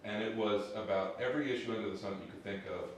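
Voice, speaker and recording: A man with a fairly low voice speaks informally from a panel, enthusiastic and discursive as he promotes projects. The sound is very echoey, picked up at a distance from the mic, with faint noise behind. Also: fairly even intonation, rapid-fire. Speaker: digital history project member and panelist. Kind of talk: informal panel answer to an audience question. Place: large echoey room at a public event.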